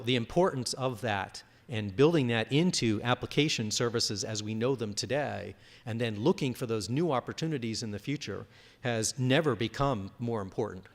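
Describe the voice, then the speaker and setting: A man giving a conference presentation and fielding audience questions. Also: mid-range voice. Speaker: conference speaker. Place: large conference room. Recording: reverberant hall.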